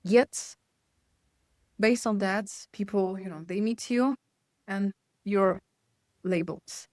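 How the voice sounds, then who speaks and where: A woman from Poland, a guest consultant, answering interview questions in a thoughtful, measured way, often checking in with "right?". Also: mid-range voice, a little mellow, no room sound, clean studio sound. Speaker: guest, psychological safety consultant.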